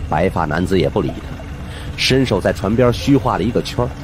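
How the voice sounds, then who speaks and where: Deep-voiced man narrating, very animated. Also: brisk. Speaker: audiobook narrator. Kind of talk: dramatic scripted narration of supernatural suspense fiction. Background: background music.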